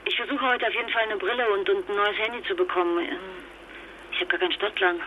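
An actress playing a fragile, exhausted wife and mother stranded abroad, speaking haltingly in a naturalistic radio drama through a telephone filter. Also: medium pitch, steady tone. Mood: flustered, hurried. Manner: halting, stammering, emotionally fragile. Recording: noisy muffled phone-line sound.

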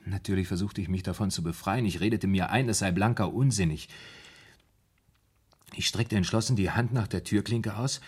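A low-voiced, husky man, tormented and anxious. He tells his story in halting, broken phrases, as a haunted confession in a seventies German radio play. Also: a little mellow. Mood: horrified. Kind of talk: 1970s German radio drama acting.

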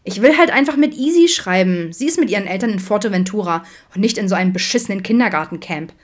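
A woman's voice: fairly low pitch, quick pace, lightly acted. A woman voicing a sulky, defiant teenage girl in an audiobook, snappy, slangy and reproachful. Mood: defiant whining.

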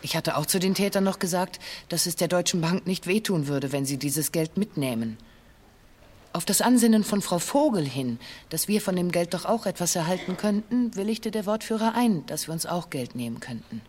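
A woman playing a former hostage giving a formal, measured witness statement in a radio documentary. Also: on the low side, lively intonation, darkish tone. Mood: matter-of-fact. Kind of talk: scripted testimony in a radio documentary.

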